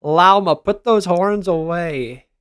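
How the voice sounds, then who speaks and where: A man's voice: high voice, slow pace, a bit bright, clear, resonant tone, dry recording, clean studio sound. A man giving casual, slangy reaction commentary as a gaming content creator, responding aloud to what he reads.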